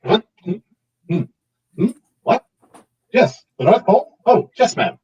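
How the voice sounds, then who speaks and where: A man puts on a gruff cartoon station clerk, very raspy and swinging widely in pitch. He is officious and unbending, heard muffled and away from the mic. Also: very animated, unhurried, a little mellow, distant mic, full character voice. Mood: befuddled, officious. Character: gruff, unbending train station ticket seller. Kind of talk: cartoon table read.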